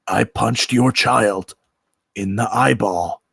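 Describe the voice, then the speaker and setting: A hot-tempered Bigfoot, acted out by a man at a table read, big on threats and quick to whine like a hurt child. The voice is forceful and gravelly, rising into shouts in this comedy script, with a close, dry sound. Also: fairly low pitch, dry recording, heavily acted. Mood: menacing. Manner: blustering, shouting threats and whining. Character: hot-tempered, childish, oafish male Bigfoot, easily wounded.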